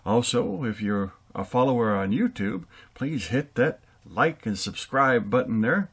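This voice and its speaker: A man's voice: medium pitch, a little slow, fairly bright tone, slightly rough. A man hosting and announcing for a radio theater show. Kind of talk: announcing credits and thanks.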